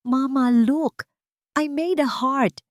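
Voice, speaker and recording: A woman voicing an excited, affectionate small child in an audio drama story. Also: high-pitched, slow pace, no echo, clean studio sound, voice pushed up. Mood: excited, loving. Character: excited, affectionate little child calling to mother.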